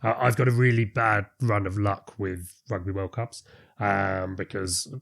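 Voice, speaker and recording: A chatty man with an Irish accent, talking as a podcast guest. His voice sits fairly low, and he tells his stories easily, peppering them with quick "yeah, yeah"s. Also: little pitch movement, a bit bright. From born in Fulham, London. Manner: chatty storyteller, lots of "yeah, yeah". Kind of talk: casual podcast chat.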